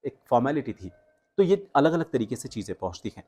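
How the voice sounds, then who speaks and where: Man, mid-range pitch, voice coach, instructional tutorial delivery, deliberate emphasis, explanatory. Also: big pitch swings, mellow, slightly rough. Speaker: voice coach and host. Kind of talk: instructional tutorial with spoken demonstrations.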